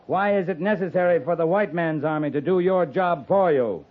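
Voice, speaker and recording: An actor playing a stern, shrewd, commanding US Army cavalry captain in 1950s radio western style. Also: male voice, fairly high pitch, moderate pace, lightly acted. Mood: stern, challenging. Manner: commanding, clipped, sternly challenging. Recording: muffled lo-fi 1950s radio recording.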